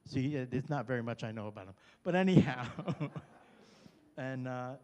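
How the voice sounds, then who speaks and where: An older man with a Brooklyn accent, loud and chatty, introducing himself and his partner at a community meeting. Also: medium pitch, animated, normal speed. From New York. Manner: loud, chatty, self-deprecating. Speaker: new neighborhood representative. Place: chapel hall. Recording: reverberant hall.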